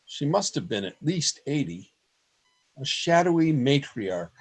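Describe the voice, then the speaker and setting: A man playing an earnest, theatrical young-hearted poet who narrates a radio play, with a husky voice. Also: mid-range voice, very animated, measured pace, full character voice. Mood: eerie narrating. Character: earnest, wide-eyed male poet newcomer, narrating. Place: remote home recording over video call. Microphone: video call.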